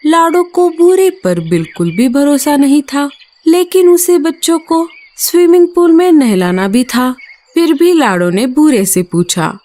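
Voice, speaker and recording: A woman's voice: high-pitched, animated, measured pace, mellow. A woman storyteller reading a scripted children's story.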